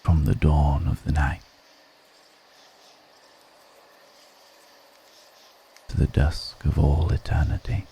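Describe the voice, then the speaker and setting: A man playing a brooding, melancholic undead vampire in an intimate ASMR roleplay, speaking in a measured, poetic murmur with pauses between phrases. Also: level tone, a little hiss or hum. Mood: wistful, musing. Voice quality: very deep, dark, creaky murmur.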